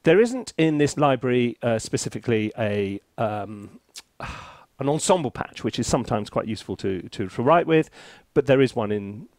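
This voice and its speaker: A man's voice: medium pitch, lively intonation, moderate pace, darkish tone, no echo. A British man presenting a casual, chatty tutorial with jokey, theatrical asides, often interrupting himself. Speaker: composer reviewing music software, presenter.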